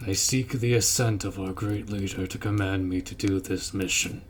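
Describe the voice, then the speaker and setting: A man's voice: low-pitched, fairly even intonation, a little hiss or hum, natural voice. A man playing a stern, honorable orc warrior commander in a fantasy audio roleplay, speaking in a formal, commanding way. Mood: solemn, resolute. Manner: formal, commanding, measured delivery.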